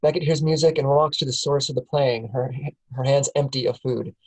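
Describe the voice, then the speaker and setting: A man reading the scene directions aloud in a steady, plain way at a film table read. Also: medium pitch, fairly quick, husky, rough recording.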